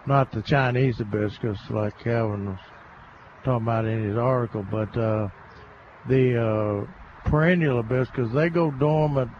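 Halting gardening expert on radio. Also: male voice, mid-range voice, fairly even intonation, lo-fi sound, slight background noise. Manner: halting and explanatory, frequent restarts. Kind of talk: radio call-in chat, explaining plants.

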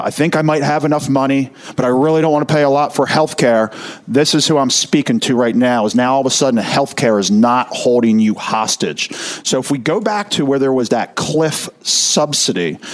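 A middle-aged man, a retirement financial advisor, explaining to listeners with energy and slowing down to stress key figures. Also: mid-range voice.

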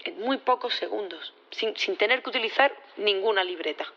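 Loyal female witness, medium pitch, halting and insistent, repeated words, courtroom audio drama, dulled sound. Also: fairly bright tone, highs cut off. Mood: earnest, defensive. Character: earnest, loyal woman witness defending her robot partner.

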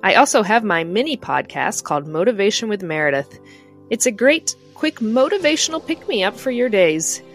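A woman's voice: mid-range voice, sing-song, moderate pace. Woman reading a podcast promo. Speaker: podcast host doing a promo. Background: music.

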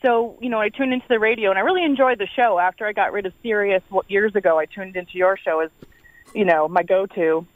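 A woman's voice: mid-range voice, fast talker, no room sound. A woman calls into a radio show over a tinny phone line. She explains her concern earnestly and hesitantly. The sound is dry and thin. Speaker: radio caller.

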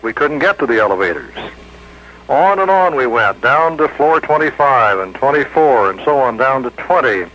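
Veteran male American radio reporter, forceful and crisp-edged, telling a first-person story with dry wit. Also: mid-range voice, rough recording. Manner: wry, vividly descriptive storytelling. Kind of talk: scripted first-person news narration.

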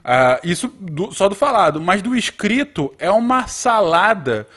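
A man's voice: sits high, sing-song, a little slow, fairly bright tone, husky. Brazilian man explaining life in Canada. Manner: explanatory, many hesitations. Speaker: podcast guest. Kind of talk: casual podcast chat.

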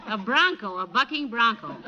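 A patient, folksy housewife acted by a woman who gently needles and corrects. Her voice is high and lilting, in vintage radio comedy manner. A noisy 1940s recording surrounds it. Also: expressive, moderate pace, highs cut off, lightly acted. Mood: patient correcting.

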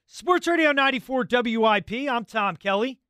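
An animated young man hosts a sports radio show, his pitch moving a lot as he talks. His voice is bright and forward, with a dry, close sound and no room around it. He is chatty and easygoing, with plenty of fillers. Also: normal speed, no room sound. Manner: animated, conversational, many fillers. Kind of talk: sports talk radio banter.

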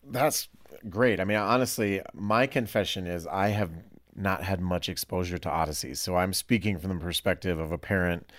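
Low-voiced man hosting an interview. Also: moderate pace, fairly bright tone, no room sound. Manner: inquisitive, informal. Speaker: podcast host, interviewer. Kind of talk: casual interview questioning.

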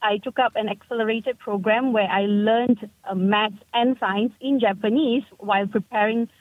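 A woman's voice: medium pitch, steady tone, no echo. Woman on a tinny phone line. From Malaysia. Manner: halting, thoughtful, searches for words. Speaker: caller. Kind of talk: call-in radio chat.

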